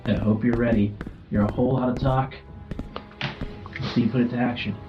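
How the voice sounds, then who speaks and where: Man as nervous data analyst, blunt, hesitant, mid pitch, tabletop roleplay, faint music. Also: steady tone, brisk, dull audio, close to an everyday voice. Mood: challenging. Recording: music under the voice.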